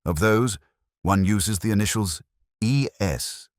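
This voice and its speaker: A man voicing an intense, precise detective in an audio story, speaking in a deliberate, commanding, didactic way. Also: lowish voice, dry recording, clean studio sound, pitched above the performer's own voice. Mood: urgent, deducing.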